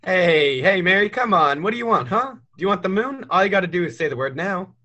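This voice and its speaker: Teen boy as young male dreamer, American, rather high pitch, forceful bright tone, eager, earnest, radio play acting. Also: animated, a little fast. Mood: excited, boastful. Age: teens. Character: restless, dreamy, eager small-town young man. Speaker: high school drama student.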